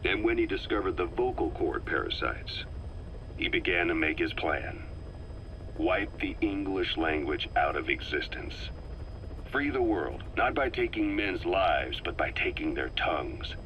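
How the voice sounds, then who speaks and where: Low, sharp-edged, grave military briefer. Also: male voice, expressive, heavily acted, poor audio. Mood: ominous, brooding. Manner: deliberate, analytical, ominous. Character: grave, calculating military intelligence man giving a briefing. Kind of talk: dramatic scripted monologue, video game audio log. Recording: faint noise, cassette tape briefing.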